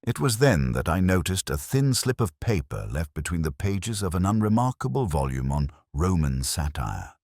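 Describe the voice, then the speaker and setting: A man narrating a first-person detective story as a brooding police inspector, in a measured, literary, reflective manner. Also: sits low, big pitch swings, darkish tone, no room sound, studio quality.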